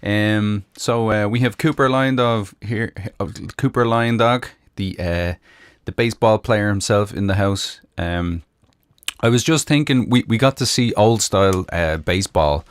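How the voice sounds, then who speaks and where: A man's voice: on the low side, a little slow, smooth, no room sound, studio quality. A man with an Irish accent hosting a live music stream, chatting casually with many ums and uhs. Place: home room in Michigan. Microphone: close microphone.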